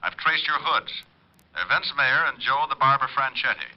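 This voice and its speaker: An actor playing a tough male private detective, brisk, confident and slangy, in an old-time radio crime drama. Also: medium pitch, lively intonation, natural voice. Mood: confident, urgent. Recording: dull old radio recording.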